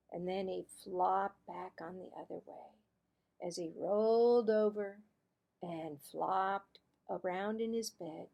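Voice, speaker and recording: A woman narrating a children's storybook aloud in an expressive, deliberate manner. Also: medium pitch, creaky, highs cut off. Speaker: teacher-style read-aloud narrator.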